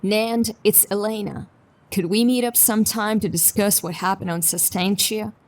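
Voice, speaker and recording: A woman playing a determined, businesslike detective in a sci-fi audio drama, speaking in a measured, purposeful way. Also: mid-range voice, steady tone, distant mic, natural voice. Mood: businesslike, polite. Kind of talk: audio drama acting, dialogue and monologue.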